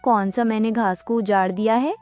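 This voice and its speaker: A woman's voice: on the high side, fairly even intonation, moderate pace, over music, natural voice. A woman voicing a mother goat in a Hindi cartoon who argues back against an unjust king. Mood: pleading. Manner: pleading, then defiant and cursing. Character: wronged mother goat, proud and defiant.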